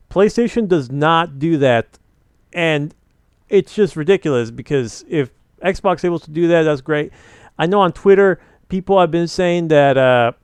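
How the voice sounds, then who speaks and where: Rambling opinionated young man, clear dry voice. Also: fairly high pitch, no room sound. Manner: rambling, opinionated, many fillers and restarts. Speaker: gaming channel host. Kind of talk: casual commentary on gaming news.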